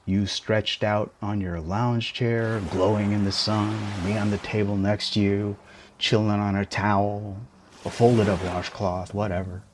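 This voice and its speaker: A man's voice: lowish voice, little pitch movement, unhurried, noisy background, natural voice. A man playing a tiny shrunken boyfriend in an intimate ASMR roleplay, playful, teasing and doting, with theatrical exclamations. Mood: relaxed, affectionate.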